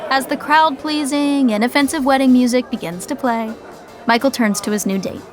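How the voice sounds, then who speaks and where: A woman's voice: high voice, moderate pace, a crowd in the background, natural voice. A woman narrating a scripted audio sitcom as a wry storyteller who comments on the action. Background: music.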